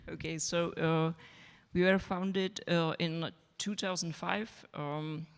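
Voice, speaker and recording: A woman's voice: lowish voice, very animated, slow. A woman speaking English as a magazine editor on a festival panel, hesitant and full of fillers. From Czech Republic. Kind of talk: panel discussion remarks. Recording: reverberant hall.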